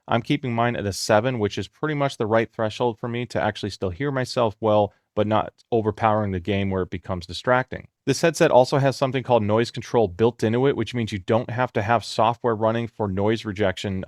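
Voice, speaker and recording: Low-voiced man reviewing tech gear. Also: no echo, clean studio sound. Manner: casual explanatory, frequent fillers. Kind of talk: product review walkthrough. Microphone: headset microphone under test.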